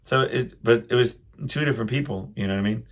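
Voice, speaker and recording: A middle-aged man hosting a solo podcast, reflecting casually and haltingly as he thinks aloud in a loud voice. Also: mid-range voice. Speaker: podcast host.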